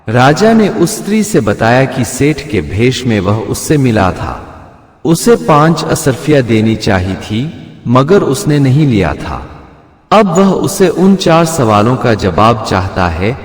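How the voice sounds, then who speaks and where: A man narrating a scripted moral bedtime story as a storyteller. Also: mid-range voice. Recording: echoey hall-like long reverb.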